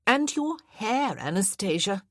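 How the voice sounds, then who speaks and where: A woman voicing a disapproving, critical aunt who speaks slowly. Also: mid-range voice, big pitch swings, a bit bright, no room sound, studio quality, pitched above the performer's own voice, heavily acted.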